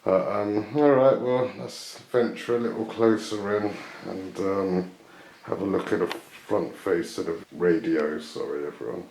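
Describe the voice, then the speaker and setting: A man's voice: sits low, measured pace, a little mellow, slight background noise. A man casually presenting a show-and-tell video, unscripted and tentative, often guessing as he goes. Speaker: video host showing vintage items. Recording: echoey room.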